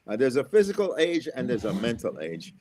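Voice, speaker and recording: A man's voice: mid-range voice, very animated, a little fast, background noise. A middle-aged American man from Florida, an engineer and school speaker, giving upbeat, motivational interview answers and repeating his key phrases. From USA, Florida.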